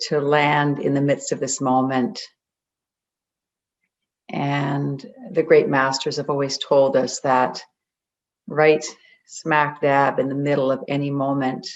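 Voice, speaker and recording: A meditation guide leading a group in a gentle, deliberate way, leaving long pauses between phrases. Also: mid-range voice. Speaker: meditation guide, spiritual coach. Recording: dull, muffled lo-fi audio.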